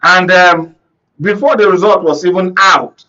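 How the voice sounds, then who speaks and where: A man's voice: sits high, measured pace, pushed and bright, lo-fi sound. A man with a Nigerian accent giving emphatic, rhetorical political commentary as a panel guest on a talk show, often checking that listeners follow. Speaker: panel guest, conflict reporter. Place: radio and TV studio discussion.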